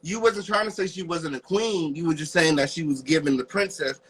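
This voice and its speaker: High-pitched, sassy man with a bright voice. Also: a little fast, a little hiss or hum, rough recording. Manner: sassy, emphatic, challenging. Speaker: host of a discussion show. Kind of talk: heated casual chat.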